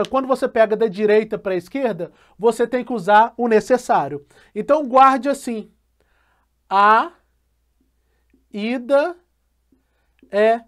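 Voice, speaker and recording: A male math teacher patiently explaining a logic lesson, often checking in with a quick question and slowly repeating key phrases. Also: very high voice.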